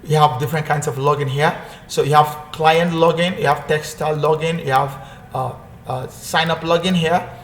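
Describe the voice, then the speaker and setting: A man's voice: fairly high pitch, fairly even intonation, normal speed. A man hosting a casual screen-share walkthrough, rambling and correcting himself as he repeats phrases. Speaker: online earning tutorial host. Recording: echoey room with faint background hum.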